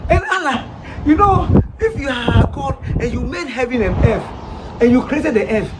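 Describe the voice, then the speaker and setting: High-voiced Ghanaian woman preaching. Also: expressive, normal speed. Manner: rhetorical, repeated questions with laughs. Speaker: street preacher. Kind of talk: preaching to listeners. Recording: noisy ambient background.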